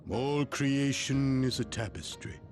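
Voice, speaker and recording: An actor playing a wise old turtle-like clan elder in a video game, formal and solemn, speaking in measured oracular phrases. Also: male voice, medium pitch, a little mellow, lightly acted. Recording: prominent music behind the voice.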